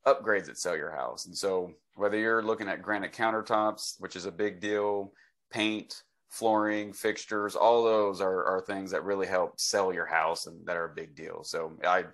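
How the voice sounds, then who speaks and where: A man in his forties with a fairly low voice hosts a relaxed interview from a home office video call. He speaks conversationally and with enthusiasm, like an agent advising clients. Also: normal speed. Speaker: host, real estate agent. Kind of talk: casual interview talk. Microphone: computer mic on a video call.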